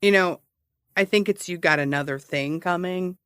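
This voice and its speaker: An actress playing a cocky, sarcastic amateur investigator and broadcaster who teases and rambles defensively in an audio comedy-drama. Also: female voice, lowish voice, normal speed, fairly bright tone, clear, resonant tone, dry recording, studio quality, natural voice. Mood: smug, needling. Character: cocky, needling amateur investigator and radio broadcaster, adult woman. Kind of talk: naturalistic audio fiction comedy-drama acting.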